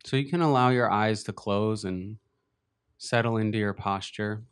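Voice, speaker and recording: A man leading a beginners' body scan meditation, speaking slowly and gently with long pauses. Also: fairly low pitch, smooth. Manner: slow, gentle, unhurried guidance with long pauses. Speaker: meditation teacher. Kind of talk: guided meditation.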